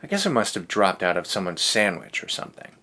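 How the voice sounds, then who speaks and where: A man's voice: low voice, sing-song, fast, bright, forceful tone. A man hosting a read-aloud show, reading and chatting in an informal, easygoing way. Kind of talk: casual story reading with chatty asides.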